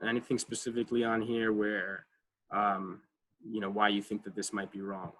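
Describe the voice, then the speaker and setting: A man's voice: fairly low pitch, level tone, moderate pace, a bit bright, low-quality recording. A man presenting a college advising workshop over a video call, talking casually with many fillers and checking in with "right?". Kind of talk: online class presentation.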